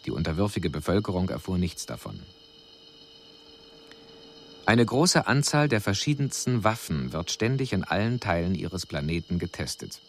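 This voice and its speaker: An actor playing an alien envoy who delivers a measured, formal, analytical report in a scripted radio drama. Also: male voice, low-pitched, big pitch swings, slight background noise. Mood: pointed, factual. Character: male alien envoy soberly reporting on a doomed planet.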